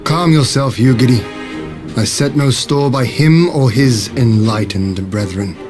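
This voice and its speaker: An actor playing a composed, burdened noble lord with a measured, formal manner in a video game cutscene. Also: male voice, mid-range voice, natural voice. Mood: resolute, weary. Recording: music behind the voice.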